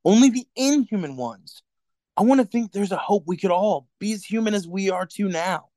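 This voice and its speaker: A man playing an earnest, anxious rebel in a radio drama, with a hesitant, halting delivery. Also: sits high, lively intonation, dark tone, no room sound. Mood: earnest, pleading. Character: earnest, anxious male rebel and lover in a dystopia. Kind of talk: radio play acting.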